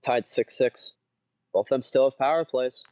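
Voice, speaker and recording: A man's voice: mid-range voice, normal speed, dry recording. Man commentating casually, dull dry recording. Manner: short, clipped remarks, often repeated. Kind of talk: casual colour commentary of a card game.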